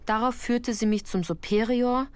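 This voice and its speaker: An actress playing a sensitive woman recalling her youth, narrating a literary radio drama in a measured, reflective way. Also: on the high side, darkish tone, clear, resonant tone, natural voice. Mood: reflective, uneasy.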